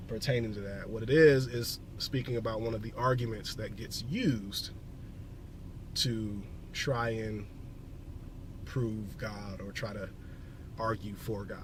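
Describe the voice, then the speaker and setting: A man with a cold, slightly scratchy, explaining a logical fallacy in a halting, casual monologue full of fillers and restarts. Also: lowish voice. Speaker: video blogger.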